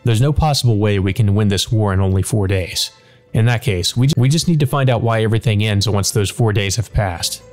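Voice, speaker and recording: A man's voice: fairly low pitch, fairly quick, darkish tone, soft background music, lightly acted. A man playing a stern, guarded master in a visual novel, speaking seriously and with determination. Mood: firm.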